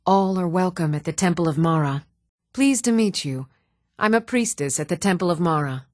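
A woman's voice: on the low side, normal speed, darkish tone, dry recording, lightly acted. Composed fantasy townswoman, acted by a woman, very dry sound. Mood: composed, formal. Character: composed, polite fantasy townswoman, steward or priestess. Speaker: video game voice actress. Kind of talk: fantasy game character dialogue.

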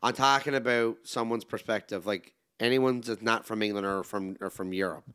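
A man's voice: fairly low pitch, fairly even intonation, moderate pace, fairly bright tone, smooth, no echo, clean studio sound. A man hosting a podcast in casual conversation, making his points bluntly and insistently. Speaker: podcast host.

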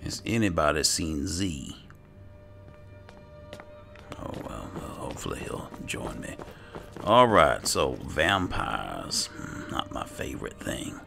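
A man's voice: extremely low pitch, very animated, takes it slowly, gravelly, pauses often, music in the background. A man doing in-character roleplay commentary over a video game, often muttering dryly to himself. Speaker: gamer roleplaying as an alchemist.

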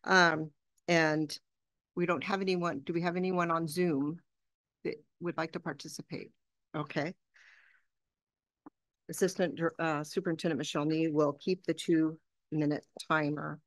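A woman's voice: low voice, little pitch movement, unhurried, pauses often, no room sound. A woman chairing a school board meeting, running through motions and public comment rules in a procedural way with frequent fillers. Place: large boardroom.

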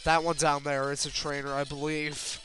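Bright-voiced man rambling over game music. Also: fairly high pitch, little pitch movement, normal speed. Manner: rambling, self-deprecating, swears casually. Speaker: let's player. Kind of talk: casual video game commentary. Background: video game music.

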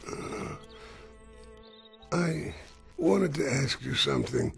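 An actor playing a poor, ashamed man with a lame leg, asking for help in halting words. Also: a little high, very animated, gravelly, faint music behind, full character voice. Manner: hesitant, halting, near tears. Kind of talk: dubbed drama acting.

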